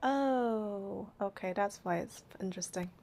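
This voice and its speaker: A woman's voice: mid-range voice, unhurried, darkish tone, slight background noise. A woman doing a game playthrough, reading narration and heroine lines aloud and commenting casually.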